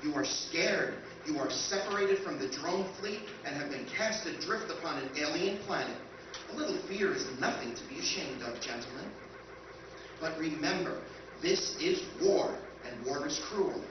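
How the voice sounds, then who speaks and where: A man's voice: on the high side, animated, normal speed, natural voice. Man acting solemn, deluded computer, echoey and distant. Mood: grave, consoling. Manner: formal, grandly declamatory. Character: solemn, deluded, militaristic female lifeboat computer, motherly and stubborn. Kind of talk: live recreation of 1950s radio science fiction. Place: convention performance room, picked up from afar. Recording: echoey convention hall, distant muffled noisy recording.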